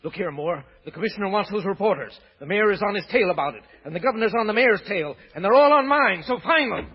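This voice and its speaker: Fast, husky, irate police inspector. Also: male voice, high-pitched, lively intonation. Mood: angry, pressuring. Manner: clipped, commanding. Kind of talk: 1930s radio drama acting. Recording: muffled old radio recording.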